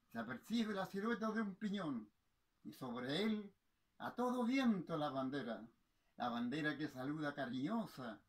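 A man's voice: fairly high pitch, poor audio. Man declaiming verse with impassioned solemnity. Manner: solemn, impassioned, theatrical oratory with dramatic pauses. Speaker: poetry reciter. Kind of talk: declaimed patriotic poetry recitation.

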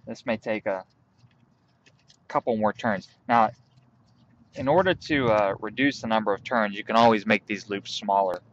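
A young man outdoors in a field, calmly walking through a hands-on tutorial step by step while he works with his hands. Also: lowish voice, moderate pace, long pauses, muffled recording, slight background noise. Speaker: bushcraft instructor.

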